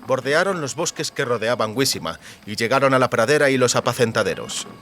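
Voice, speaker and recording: A man reading scripted literary narration for an audiobook in a measured, descriptive manner. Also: medium pitch, expressive, fairly bright tone, slight background noise.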